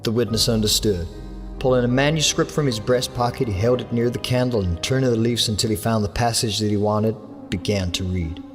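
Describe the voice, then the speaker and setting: An English man reading a horror story aloud as an audiobook narrator, in a measured storytelling manner. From England. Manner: measured storytelling, lifts his pitch for characters' lines. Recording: long hall reverb with soft music under.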